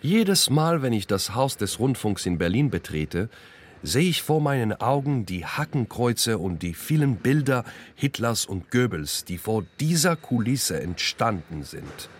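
A man narrating a scripted first-person radio documentary, with deliberate, pointed phrasing. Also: medium pitch, very animated. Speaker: narrator and author of a radio play.

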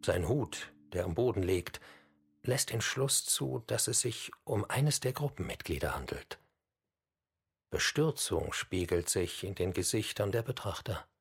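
A man narrating a scripted radio documentary drama in a husky voice. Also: lowish voice, expressive, unhurried, exaggerated voice. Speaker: narrator and commentator.

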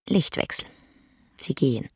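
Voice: a little slow, darkish tone, a little hiss or hum. A narrator reading clipped, scripted stage directions in a drama production.

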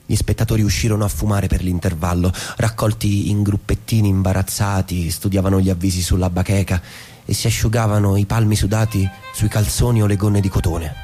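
An actor playing a restless, disillusioned American husband in theatrical stage-drama style, intense and bitter. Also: male voice, on the low side, flat delivery, fairly quick, dark tone, natural voice. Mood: grave narrating. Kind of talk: stage-drama acting with literary monologues. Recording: music behind the voice.

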